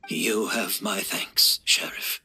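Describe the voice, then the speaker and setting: An actor playing a frail, courteous, resigned gentleman in a video game, speaking in a measured and polite way. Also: on the low side, steady tone, slightly rough, faint music behind, full character voice. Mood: grateful.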